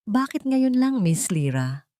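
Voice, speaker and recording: A woman's voice: a little high, big pitch swings, slow pace, warm tone, dry recording, studio quality, voice pushed up. A woman voicing a stern, fatherly male general in an audiobook, cold, determined and terse. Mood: grave.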